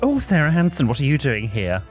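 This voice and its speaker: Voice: mid-range voice, wildly swooping pitch, brisk, soft background music, voice pushed up. A performer doing a fluttery camp northern boy. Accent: northern English. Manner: breathless, fluttery, easily alarmed. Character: timid camp young northern English boy.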